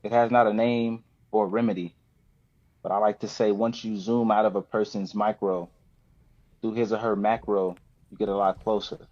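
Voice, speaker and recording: A man's voice: on the low side, flat delivery, moderate pace, a little mellow. An American man of thirty from the West Coast, a poet speaking as a podcast guest. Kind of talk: poetry reading and casual chat. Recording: dull lo-fi roomy sound.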